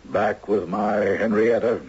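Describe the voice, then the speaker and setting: Husky, courtly old judge. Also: male voice, on the low side, slow pace, a little mellow, exaggerated voice. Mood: resolute. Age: older. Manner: florid, courtly, old-fashioned phrasing. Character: elderly retired judge, courtly, chivalrous, wistful old romantic. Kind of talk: 1970s radio drama acting. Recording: dull lo-fi 1970s radio recording.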